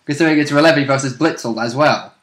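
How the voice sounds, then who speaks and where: A man's voice: mid-range voice, fast, a bit bright. A man chatting casually as a player and co-commentator, hedging his guesses in informal, sometimes sweary speech. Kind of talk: casual chat, speculative commentary.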